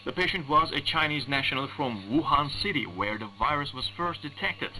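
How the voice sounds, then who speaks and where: A man reading formal scripted news as a radio newsreader, in a measured, deliberate way, over shortwave radio. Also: medium pitch, expressive, sharp tone. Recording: noisy humming shortwave radio broadcast.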